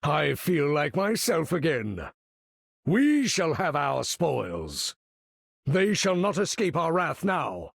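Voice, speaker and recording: A man's voice: fairly high pitch, sing-song, slow, gravelly, no echo, clean studio sound, exaggerated voice. A man voicing an imperious older supervillain in a video game, commanding battle with pompous, theatrical, formal diction. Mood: haughty, commanding.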